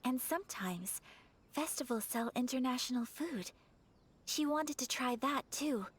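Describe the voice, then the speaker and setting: A woman voicing a dutiful, reserved young noblewoman who speaks softly, politely and in a measured way. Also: sits high, animated, husky, full character voice. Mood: reflective. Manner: soft-spoken, polite and measured.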